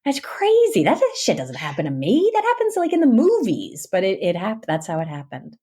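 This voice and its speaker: A woman's voice: mid-range voice, huge pitch swings, brisk, mellow, husky. A woman guest, an actress and audiobook narrator, telling personal stories in an interview in a dramatic way and acting out the dialogue. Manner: dramatic, acts out dialogue as she tells it. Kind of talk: animated personal storytelling in an interview.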